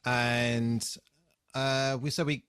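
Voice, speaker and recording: A British man co-hosting a relaxed TV re-watch podcast, talking in a hesitant, rambling, casually sweary way. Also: mid-range voice, extremely slow, clear, resonant tone, dry recording, clean studio sound. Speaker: podcast co-host.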